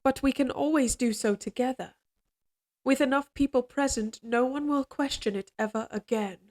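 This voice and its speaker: Woman acting as a regal, possessive queen, higher-pitched. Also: darkish tone, natural voice. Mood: imperious resolve. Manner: formal, measured, imperious. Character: possessive evil queen, regal, obsessively devoted, quietly menacing. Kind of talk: scripted dramatic audio roleplay.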